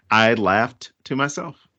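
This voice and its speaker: A man's voice: medium pitch, takes it slowly, muffled recording. Wisecracking male podcast co-host. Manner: wisecracking, deadpan. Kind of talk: casual podcast chat.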